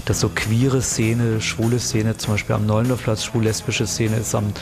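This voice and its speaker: A man giving spontaneous, halting explanations as an interviewee, often rephrasing himself. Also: fairly low pitch, flat delivery, music in the background. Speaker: interviewee, commentator on cultural history.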